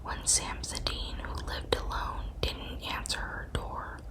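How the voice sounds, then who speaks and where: A woman narrating scripted true crime as ASMR in a breathy, unvoiced whisper, with measured, deliberate storytelling.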